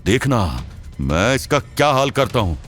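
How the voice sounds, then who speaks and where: A man's voice: medium pitch, very animated, unhurried, fairly bright tone, soft background music. A man playing an arrogant, threatening older rich father in an audio drama. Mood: menacing. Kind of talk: Hindi audio drama acting.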